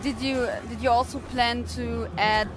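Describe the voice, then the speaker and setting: A woman's voice: a little high, flat delivery, a little slow, background noise. A woman interviewer asking conversational questions and chiming in with short reactions. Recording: loud music behind the voice. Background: loud music.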